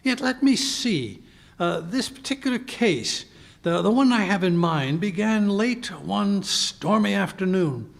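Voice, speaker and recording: A man's voice: high voice, lively intonation, measured pace, gravelly, exaggerated voice. A man playing an elderly, genial doctor reminiscing by the fireside in a 1940s-style radio drama, hesitant, rambling and a little fussy.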